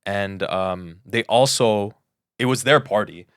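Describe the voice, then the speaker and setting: Lowish-voiced man bantering on a podcast. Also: takes it slowly, a bit bright, smooth, no echo, studio quality. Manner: chipping in, prompting and clarifying. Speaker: podcast co-host. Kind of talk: casual banter.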